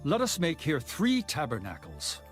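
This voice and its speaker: A man's voice: on the high side, huge pitch swings, moderate pace, over music. A man playing an impulsive, fervent disciple in a dramatized scripture reading, earnest and emphatic. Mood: eager awe. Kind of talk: dramatized scripture acting, archaic English.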